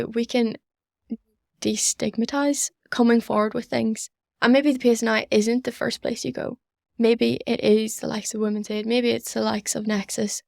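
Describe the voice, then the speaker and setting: A woman's voice: fairly high pitch, vocal fry, no room sound, clean studio sound. A young woman, chatting earnestly as an interview guest. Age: young adult. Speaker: podcast guest, student society organiser. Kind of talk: conversational interview answers.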